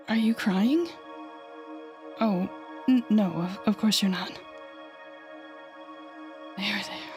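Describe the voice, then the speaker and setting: A woman playing an intense, coaxing female telepathic villain with a secretly tender side in an intimate audio roleplay, speaking softly and often whispering with deliberate dramatic pauses. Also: mid-range voice. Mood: flustered, then tender, comforting. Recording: background music under the voice.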